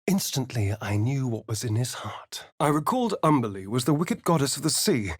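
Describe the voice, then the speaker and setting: An actor voicing a sly, vain vampire rogue for a video game, with an English accent and an arch, theatrical, drawling delivery. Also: male voice, mid-range voice, big pitch swings, moderate pace, slightly rough. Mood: hushed narrating.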